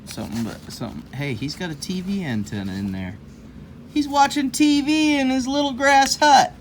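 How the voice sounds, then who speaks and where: A man's voice: very high-pitched, normal speed, slight background noise. A man reading a children's story aloud in a folksy, rambling way, breaking off for casual, jokey asides. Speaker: host of a children's read-aloud show.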